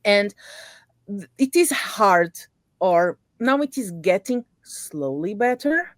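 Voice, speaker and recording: A woman hosts an interview in English, her second language, and she pauses and repeats words as she feels her way through each sentence. Her voice is dry and close, with no room sound around it. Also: medium pitch, very animated, no room sound. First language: not English. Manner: halting, repeats words, non-native phrasing. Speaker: interview host. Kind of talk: casual interview chat.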